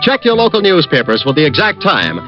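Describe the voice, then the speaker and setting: A man's voice: fairly high pitch, wildly swooping pitch, a little fast. A man announcing the closing credits and notices in a polished, formal manner for an old radio program. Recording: dull old radio recording with music.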